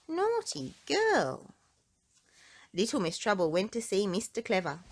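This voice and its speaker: A woman reading a children's book aloud in an expressive storytelling style, drawing out words for emphasis. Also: mid-range voice, a little fast.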